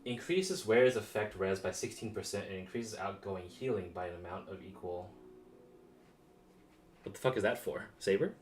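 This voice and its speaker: A man's voice: on the low side, animated, moderate pace. A man streaming a video game with casual, slangy, sweary reactions and muttered asides. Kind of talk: casual reacting commentary over gameplay.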